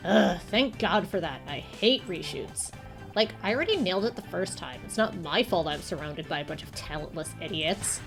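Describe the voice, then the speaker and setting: A woman's voice: on the low side, animated, normal speed, gravelly. Spiteful diva actress played by a woman, sneering and vain, game character with music underneath. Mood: disdainful. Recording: music behind the voice.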